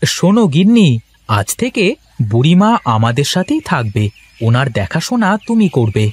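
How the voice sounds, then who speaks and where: A man's voice: on the high side, big pitch swings, moderate pace, breathy edge. A man voicing an earnest, kind-hearted poor village husband in a cartoon, speaking expressively and cajolingly. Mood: firm. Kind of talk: Bengali moral-story cartoon dubbing.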